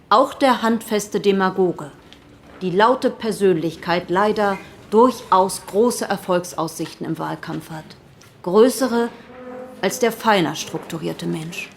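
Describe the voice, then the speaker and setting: A woman's voice: mid-range voice, close to an everyday voice. An actress playing a female politician who gives an earnest, rhetorical speech to parliament in a historical radio play. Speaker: parliament member. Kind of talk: historical radio drama acting.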